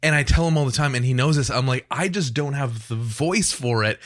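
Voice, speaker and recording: A man's voice: mid-range voice, fairly quick, fairly bright tone. A man co-hosting a studio podcast, asking long, looping questions and joking with his guest. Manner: chatty, joking, asks long rambling questions. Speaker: podcast co-host. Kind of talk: casual studio chat.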